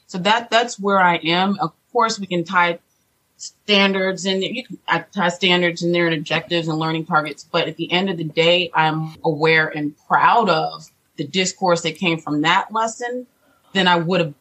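A middle-aged woman, a teacher being interviewed, talking in an earnest, deliberate, reflective conversational way. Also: fairly low pitch, steady tone, fairly bright tone, poor audio. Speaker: teacher, podcast guest.

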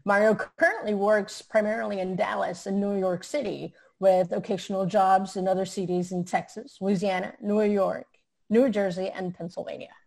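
A woman's voice: mid-range voice, fairly even intonation, moderate pace, gravelly, rough recording. A woman co-hosting an interview podcast, introducing and questioning a guest with some hesitant fillers. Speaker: podcast co-host.

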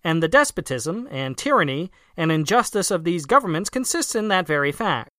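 A narrator reading a formal political essay aloud in a measured, emphatic way. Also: mid-range voice, sing-song, pushed and bright, no echo, studio quality. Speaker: audiobook reader.

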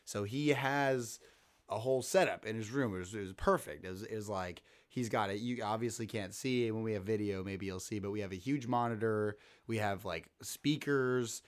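A man's voice: lowish voice, moderate pace. A man with a scratchy throat, hosting a casual sports podcast and rambling with many restarts. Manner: rambling, self-interrupting. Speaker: podcast host.

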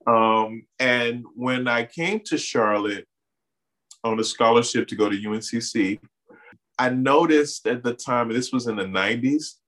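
A man's voice: medium pitch, a little slow, rough recording. A man from the Bahamas raised in Miami, a guest in an interview, telling stories with lots of "you know" fillers. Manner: animated storyteller, many "you know" fillers. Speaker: artist and community organizer guest. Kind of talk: interview conversation.

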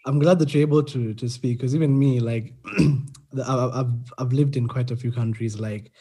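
A young man from Kenya chatting casually as a podcast host, relaxed and full of fillers. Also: mid-range voice, normal speed, husky. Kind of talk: casual conversation.

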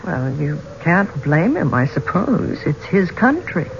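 A poised, inquisitive woman, polite with a teasing streak. Her delivery is very expressive and swings in pitch, on a muffled 1970s radio drama recording with faint hiss. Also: unhurried.

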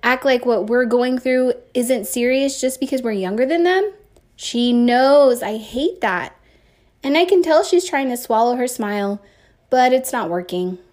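A woman reading a first-person story aloud with expressive, emphatic phrasing, like a teacher. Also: on the high side.

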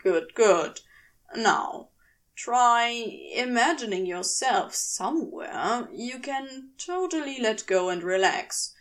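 A woman's voice: a little high, expressive, slow. A woman reading the part of a casually bossy, teasing male demon in a story, with a clipped, commanding manner. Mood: coaxing, teasing.

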